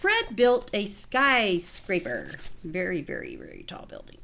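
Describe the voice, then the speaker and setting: A woman's voice: mid-range voice, gravelly, background noise. A woman teacher reading a picture book aloud to children, expressively and with casual asides. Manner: expressive, pausing to comment to children.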